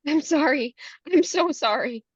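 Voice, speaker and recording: An anxious young beauty queen acted by a woman with a fairly high voice, reluctant and protesting in a table read. The sound is dry and a little muffled. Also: a little slow, no room sound. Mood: horrified. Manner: protesting, distressed.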